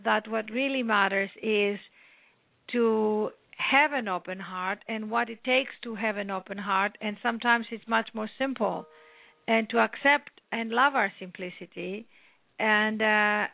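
A woman from Greece with a Greek accent, an author talking earnestly at length in a phone interview, with many pauses. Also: medium pitch, creaky, no room sound. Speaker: author guest. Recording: tinny phone line.

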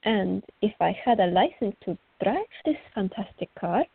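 A woman's voice: medium pitch, expressive, moderate pace, creaky, breathy edge, no room sound. A woman playing a fiery, cheeky teenage girl in a radio drama, eager and playful. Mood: hopeful, eager. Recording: dull lo-fi recording.

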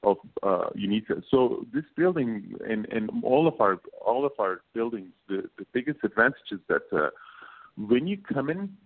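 A man in his late thirties with a Turkish accent, a business co-founder speaking as a guest in a casual interview, halting, with many fillers and repeated words. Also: on the low side, very animated. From Turkey. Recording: phone-like narrow band.

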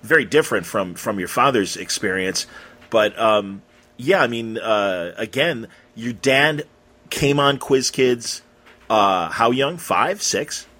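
Male interview host, mid-range voice with a husky grain, conversational and halting as he works toward his questions. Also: mid-range voice, lively intonation, sharp tone.

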